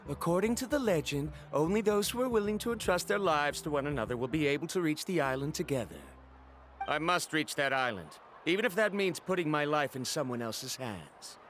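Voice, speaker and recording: An actor playing an earnest, determined young traveler in a video game, speaking in a formal, resolute way. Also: male voice, a little high, animated, fairly quick, fairly bright tone, slight background noise.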